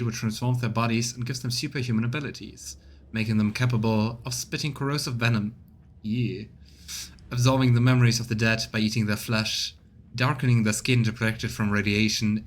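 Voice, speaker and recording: A man streaming a video game, reading dialogue and narration aloud in a measured way with casual asides. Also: medium pitch. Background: game music.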